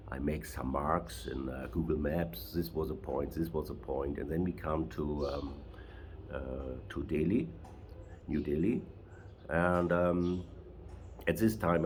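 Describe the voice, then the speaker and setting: A German man talking in halting, non-native English with many restarts, interviewing a driver. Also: rumbling low, a little hiss or hum. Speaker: traveler and interviewer. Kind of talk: casual interview.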